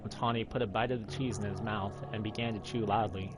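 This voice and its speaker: A man hosting a gaming playthrough, reading a visual novel aloud in a measured storytelling way and lightly acting out dialogue. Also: lowish voice, steady tone, over music. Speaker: gaming video host.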